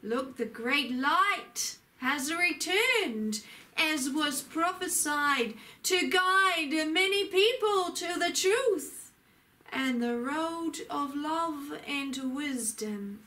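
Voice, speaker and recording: A woman delivering a channeled sermon, speaking as a divine lord in a solemn, declamatory way with frequent pauses. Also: sits high, expressive, pushed and bright. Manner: solemn, declamatory, pausing often. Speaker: spiritual channeler.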